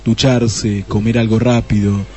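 A man's voice: lowish voice, little pitch movement, unhurried, a little mellow, soft background music. A man narrating a scripted comic radio drama.

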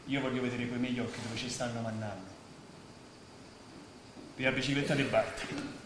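A man's voice: medium pitch, fairly even intonation, normal speed, close to an everyday voice. A man acting a distrustful working-class character with a Roman accent in a stage play. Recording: echoey room, distant mic, noisy.

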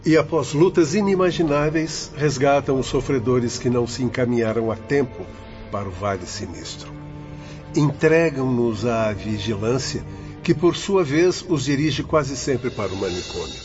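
Guy as earnest spirit guide in a radio drama, declaiming, middle pitch, dull audio. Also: expressive, moderate pace, close to an everyday voice. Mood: earnest, resolute. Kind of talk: dramatic radio play acting. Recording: dull lo-fi audio under loud music.

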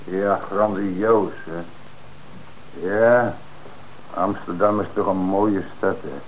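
An actor playing an eager, insistent police detective in an old radio play, on a noisy old radio recording. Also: male voice, low voice, steady tone, slow pace. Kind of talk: 1960s radio play acting.

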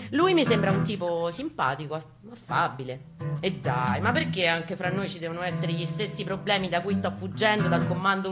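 A woman giving a theatrical, expressive first-person reading from a book, in a storytelling style. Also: mid-range voice. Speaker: reader performing a book excerpt. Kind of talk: dramatic first-person literary reading with guitar. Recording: live reading over acoustic guitar. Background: acoustic guitar music.

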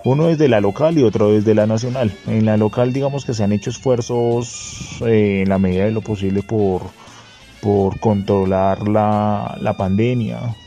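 A man with a Colombian accent giving an informal, analytical spoken report, measured and somewhat hesitant. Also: fairly low pitch, dark tone. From Bogotá, Colombia. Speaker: guest correspondent sending an audio report. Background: faint guitar music.

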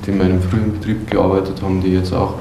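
A low-voiced man speaks his opinion off the cuff in a halting way. His tone is flat and mellow with a gravelly fry, and the room is echoey. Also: soft background music. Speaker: member of the public giving opinions. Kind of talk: spontaneous spoken opinion in original-sound radio collage. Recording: echoey room, faint noise.

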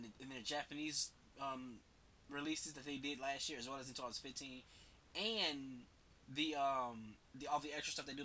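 A man's voice: medium pitch, bright, forceful tone, a little hiss or hum, poor audio. A man with a head cold, talking casually and rambling as a podcast host, slangy and swearing freely. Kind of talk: casual solo commentary.

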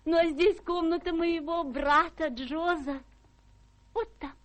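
A woman's voice: extremely high pitch, steady tone, slow pace. An actress in a radio play voicing a tender, guileless young daughter with an earnest, girlish manner. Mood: happy, eager. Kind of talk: theatrical radio play acting.

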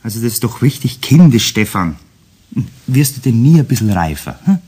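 A man's voice: mid-range voice, big pitch swings, moderate pace, mellow, slightly rough. A man playing a stern but well-meaning, condescending middle-aged father in a German children's radio play. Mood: lecturing.

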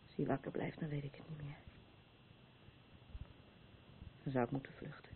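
A worn-out, brooding woman speaks in a radio play. Her voice sits a little low, and the sound is thin and phone-like with some hiss behind it. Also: little pitch movement, rapid-fire. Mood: weary, desperate. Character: worn-out, frayed woman burdened by an unstable man. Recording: thin phone-like old radio recording, noisy.

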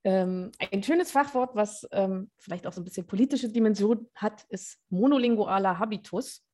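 Woman researcher, podcast guest, mid pitch, explains patiently with frequent pauses. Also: warm tone. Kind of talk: casual podcast chat.

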